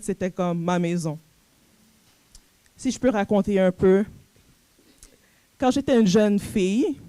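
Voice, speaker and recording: A woman's voice: mid-range voice, dark tone, no room sound. A woman from Montreal with a Quebec accent, speaking as a coach in an informal motivational talk, conversational and full of rhetorical questions. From Montreal, Canada. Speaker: personal image coach and speaker.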